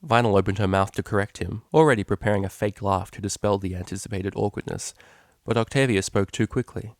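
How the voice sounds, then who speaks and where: A man's voice: on the low side, brisk, no room sound, clean studio sound. A man narrating a story as a reader, in scripted storybook style. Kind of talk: narrated fan fiction reading.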